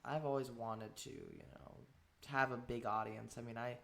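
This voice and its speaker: A man hosting a casual podcast chat, excitable and full of fillers. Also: mid-range voice, moderate pace, vocal fry. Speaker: podcast host.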